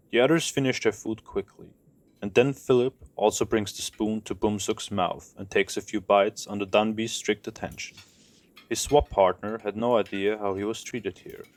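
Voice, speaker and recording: A man's voice: lowish voice, a little mellow, no room sound. A man narrating an audio drama from a script in present tense as the storyteller.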